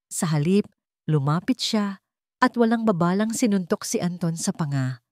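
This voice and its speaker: Low-voiced woman narrating a dramatic story. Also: lively intonation, normal speed, no room sound, clean studio sound.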